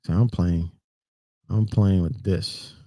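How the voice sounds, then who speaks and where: A middle-aged Puerto Rican man with a low, mellow voice chats loosely on a livestream, greeting viewers and chuckling between thoughts. The sound is dry and close, and he sometimes drifts away from the mic. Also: slow, no echo. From Puerto Rico. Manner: relaxed, rambling, greets chat by name, chuckles often. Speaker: trucker livestream host. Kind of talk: casual livestream chat with viewers.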